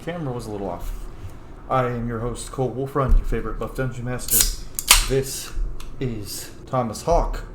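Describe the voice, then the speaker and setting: A man's voice: mid-range voice, moderate pace, background noise. Male gaming host in a roomy space. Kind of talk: casual game commentary. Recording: roomy echo, game music behind.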